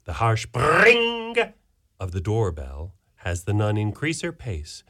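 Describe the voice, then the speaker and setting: A man narrating a radio play in a steady storytelling manner. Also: on the low side, slow pace. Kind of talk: scripted narration.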